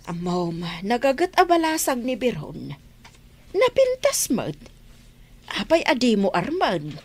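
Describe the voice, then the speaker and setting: High-voiced, scolding mother. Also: huge pitch swings, a little slow, darkish tone, exaggerated voice. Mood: prodding. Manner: scolding, emphatic. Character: insistent, disapproving mother pressing her son about love. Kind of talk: Ilocano radio drama acting.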